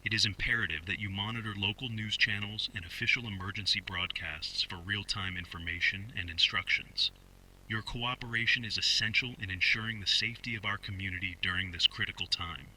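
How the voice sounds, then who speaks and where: A man playing a stern police spokesman reading a scripted emergency broadcast in a formal, measured, official manner with a husky voice. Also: sits low, bright, forceful tone, a little hiss or hum, low-quality recording. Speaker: public emergency announcer.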